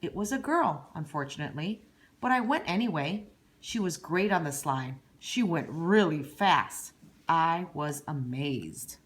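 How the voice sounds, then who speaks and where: A woman's voice: deep voice, animated, measured pace. A woman voicing a lonely, bored young boy in a storybook read-aloud, timid and wistful. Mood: wistful, shy.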